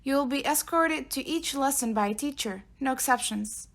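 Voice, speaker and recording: A woman playing a solemn, stern teacher in an audio drama, speaking formally and firmly. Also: high voice. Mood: stern, somber. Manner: formal, firm, measured. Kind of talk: fan audio drama acting.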